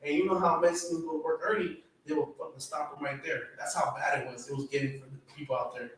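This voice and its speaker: A man's voice: fairly high pitch, steady tone, fast, a bit bright, recorded from a distance, a little hiss or hum. A man who grew up around Atlanta, talking casually on a podcast, swearing freely and filling his talk with "you know". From Atlanta, Georgia area, United States. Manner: laid-back, swears freely, peppers speech with "you know". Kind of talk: casual chat. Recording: very echoey room, from off-mic.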